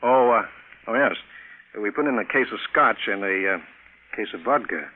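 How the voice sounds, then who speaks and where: A man's voice: fairly low pitch, normal speed, close to an everyday voice. A man playing a matter-of-fact space programme chief in a 1950s radio drama, businesslike and familiar. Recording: noisy, tinny vintage radio broadcast.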